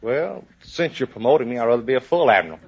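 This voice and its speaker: A man's voice: medium pitch, expressive, quick pace, vocal fry. A man, a navy sailor, giving plainspoken, folksy answers as a contestant on an old 1950s radio show. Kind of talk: casual interview answers. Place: studio in front of audience. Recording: noisy muffled 1950s radio broadcast recording.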